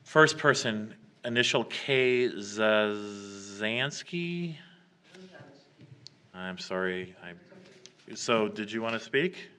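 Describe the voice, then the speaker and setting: A man chairing a public meeting in an informal, halting way, reading out names and written comments. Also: on the low side, lively intonation, pushed and bright, slight background noise. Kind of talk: running a public meeting, reading emails aloud. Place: council chamber, public meeting.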